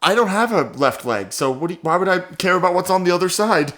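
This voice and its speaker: A man's voice: sits high, expressive, fast talker, a bit bright. A man hosting a comedy podcast with improvised banter.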